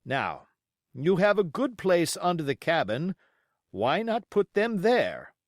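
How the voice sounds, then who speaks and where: A man's voice: fairly high pitch, very animated, slow pace, no room sound, clean studio sound, voice pushed up. A man voicing a blunt, stern sea captain in an audiobook, speaking formally in clipped, emphatic phrases. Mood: firm, insistent. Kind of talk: audiobook character acting.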